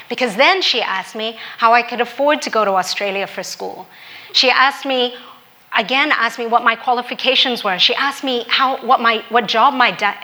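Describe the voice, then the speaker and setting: A woman's voice: a little high, pushed and bright. A young woman from Hong Kong telling a personal story in an earnest, candid, reflective way.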